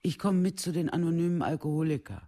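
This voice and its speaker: An actor playing an alcoholic's worn-down, earnest relative, recounting colloquially in a soft husky voice in a documentary radio play. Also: male voice, fairly high pitch, fast talker. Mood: earnest, hurt.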